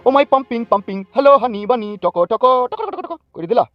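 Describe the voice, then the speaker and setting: A man voicing a bullying, mocking, foul-mouthed gang boss in an animated comedy. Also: on the high side, rapid-fire, no echo, close to an everyday voice. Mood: abusive, menacing. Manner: mocking, threatening, swaggering. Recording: dull lo-fi track, faint music.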